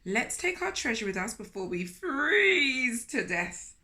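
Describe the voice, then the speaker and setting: A woman's voice: fairly high pitch, sing-song, measured pace, pushed and bright, pitched above the performer's own voice, exaggerated voice. A woman voicing a cheerful, adventurous grandmother in a children's storybook, encouraging and playful. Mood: playfully urging.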